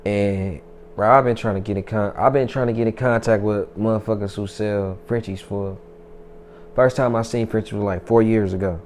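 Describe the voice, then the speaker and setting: A man's voice: on the low side, fairly even intonation, moderate pace, darkish tone, a little hiss or hum. A man in his twenties, a rapper, talking loosely and boastfully in a casual interview, with plenty of profanity. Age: 20s. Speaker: rapper guest.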